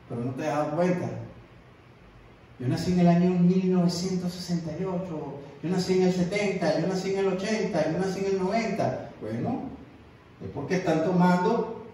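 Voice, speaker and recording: A man's voice: high-pitched, warm tone, slight background noise. A man preaching a sermon as an evangelist, emphatic and repeating his words for stress. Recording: echoey room, distant mic.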